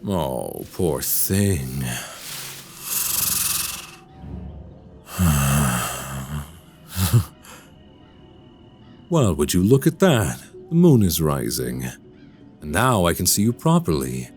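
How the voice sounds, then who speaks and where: A man acting as a sinister, condescending werewolf in human guise for a radio drama. The low, gravelly voice takes its time and swoops through its phrases with menace, over music. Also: wildly swooping pitch, measured pace, a little mellow, heavily acted. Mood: quiet menace. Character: sinister soft-spoken man who is secretly a werewolf.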